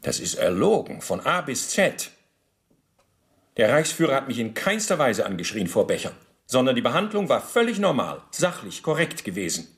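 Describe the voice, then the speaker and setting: An actor playing a bureaucratic, self-justifying Nazi war criminal under interrogation in a radio play, speaking formally and officiously. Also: male voice, mid-range voice, very animated, moderate pace, natural voice. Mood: indignant. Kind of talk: German radio docudrama interrogation acting.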